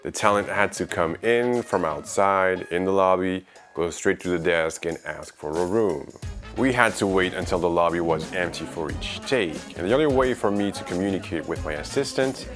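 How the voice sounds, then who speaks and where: Male vlogger, low voice, presenting gear reviews over background music. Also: normal speed. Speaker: video creator and reviewer. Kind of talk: product review vlog narration to viewers.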